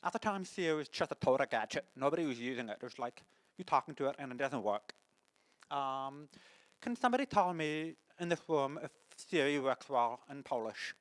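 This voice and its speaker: A deaf man with a mixed French and English accent gives a conference talk, speaking haltingly with many restarts. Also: medium pitch, lively intonation, low-quality recording. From born in the United States, lives in France. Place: conference hall.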